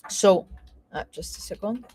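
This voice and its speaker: Greek Cypriot woman analyst, halting. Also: a little mellow. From Cyprus. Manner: halting, many fillers and restarts; checks in with "okay?" often. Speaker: market analyst. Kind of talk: live market webinar.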